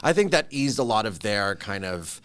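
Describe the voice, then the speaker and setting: Male, around 40, from Vancouver area; talkative guest, self-deprecating, quick to laugh. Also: fairly low pitch, sing-song, fairly bright tone, clear, resonant tone. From Canada (Vancouver area). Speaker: podcast guest. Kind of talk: casual interview chat.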